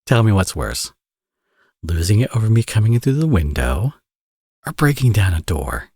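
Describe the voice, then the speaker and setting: Man acting a deep, husky flirtatious villain. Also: sing-song, a little mellow, no room sound, clean studio sound, heavily acted. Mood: teasing, exasperated. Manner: teasing, sardonic, drawling with drawn-out phrases. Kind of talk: ASMR romance audio roleplay, intimate acting.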